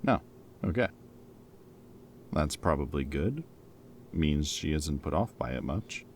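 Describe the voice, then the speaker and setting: A man's voice: extremely low pitch, expressive, slow, slight background noise, heavily acted. A man playing a former villain in an audio roleplay, a playful, loyal friend who talks in a casual, wry, bantering and hesitant way, as if in conversation. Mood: cautious, serious.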